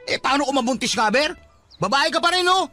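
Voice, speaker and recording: High, animated young Filipino buddy. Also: male voice, brisk, soft background music, heavily acted. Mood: insistent. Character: teasing young man, mama's boy, smitten with tomboy buddy. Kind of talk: Filipino radio drama acting.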